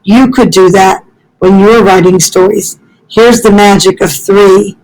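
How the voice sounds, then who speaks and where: A woman reads a children's story aloud at a very unhurried pace. She works as a school librarian and speaks carefully to young listeners, pausing the narration for short teaching asides. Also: mid-range voice, steady tone, darkish tone, rough recording.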